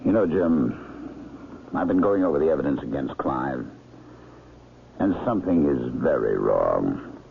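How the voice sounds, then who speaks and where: Deep, gravelly thoughtful doctor sleuth. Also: male voice, big pitch swings, measured pace, long pauses, heavily acted. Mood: pondering. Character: thoughtful, loyal middle-aged doctor friend, amateur sleuth. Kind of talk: radio mystery drama acting. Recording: muffled, noisy old radio drama recording.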